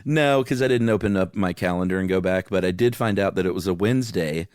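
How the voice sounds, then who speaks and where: A man with a low, smooth voice chats as a podcast co-host. His manner is informal and laid-back, and the recording is very dry. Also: fast, a little mellow, dry recording. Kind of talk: casual chat.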